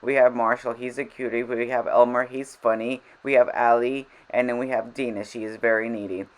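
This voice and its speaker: A woman's voice: a bass voice, steady tone, fairly quick, low-quality recording. A woman whose first language is Spanish, chatting over gameplay in a casual voiceover. She rambles and interrupts herself, a little frazzled. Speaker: game vlogger.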